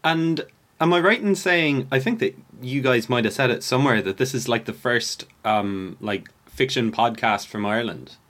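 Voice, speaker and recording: A man's voice: mid-range voice, normal speed, a bit bright. A man interviewing a guest in a casual, conversational style. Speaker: podcast interviewer.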